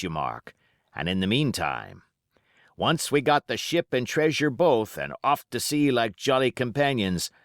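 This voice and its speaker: Audiobook role: male reader as a sly, smooth-talking old-salt pirate, low pitch, swinging intonation, rustic sailor grammar. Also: no room sound, clean studio sound. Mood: sly, menacing. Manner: rustic seafaring speech with ungrammatical turns. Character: cunning one-legged male pirate, folksy, scheming, smooth-talking. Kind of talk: audiobook character dialogue.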